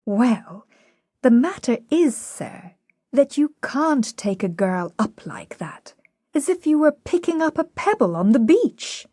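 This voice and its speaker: A prim, disapproving housekeeper scolds with firm propriety, as a woman reading a play voices her. Her husky voice moves with a lively pitch at an unhurried pace. The sound is dry and close. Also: dry recording, exaggerated voice. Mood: protesting. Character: Mrs Pierce, proper, disapproving housekeeper woman.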